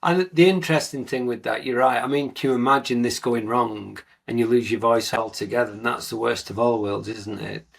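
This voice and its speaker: A man's voice: mid-range voice, fairly even intonation, fairly quick, vocal fry, hardly any pauses. A man hosting a podcast interview in a conversational way, reflecting and putting questions to a guest.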